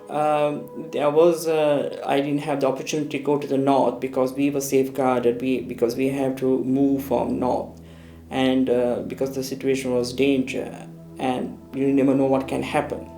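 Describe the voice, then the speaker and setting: A middle-aged man from Sri Lanka speaks in a documentary interview, halting often as he searches for words. His voice sits slightly high for a man and carries a gravelly edge. The room sounds echoey, and faint music plays underneath. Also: a little mellow. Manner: hesitant, many fillers and restarts. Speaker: interviewee recounting war memories. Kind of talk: documentary interview, personal recollection.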